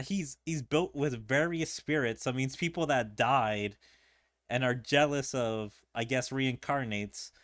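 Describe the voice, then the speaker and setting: A man's voice: mid-range voice, no room sound, studio quality. A man giving casual game commentary as a streamer, musing and thinking aloud. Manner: musing, speculative. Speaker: gaming streamer.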